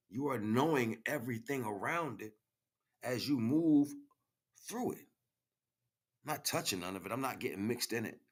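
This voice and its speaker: A man's voice: mid-range voice, husky. A man giving an impromptu spiritual monologue as a teacher, speaking directly to the listener and repeating phrases for emphasis.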